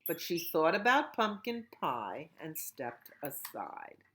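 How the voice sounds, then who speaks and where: A woman children's librarian reading a picture book aloud at storytime, slow and expressive. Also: deep voice, a little hiss or hum.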